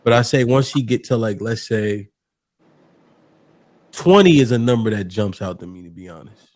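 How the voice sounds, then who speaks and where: A man's voice: on the low side, moderate pace, darkish tone, no room sound. A man hosting a casual debate podcast, talking in slang and often checking his points with short tag questions. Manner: slangy, punctuates points with "right?". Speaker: podcast host.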